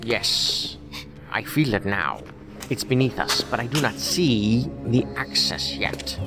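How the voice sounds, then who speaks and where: A cryptic male mystic, played by a man, speaks calmly and in riddles. His voice moves around a lot within a middle pitch. Music plays behind him. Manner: calm, cryptic, measured. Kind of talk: audio drama acting. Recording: music underneath.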